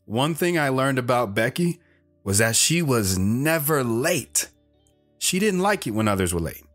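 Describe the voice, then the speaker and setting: Male actor as earnest teenage boy, medium pitch, audio drama narration, awkward, soft music behind. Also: very animated, unhurried. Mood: plain. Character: Travis, earnest awkward teenage boy telling his story. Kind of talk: first-person dramatic narration with acted dialogue.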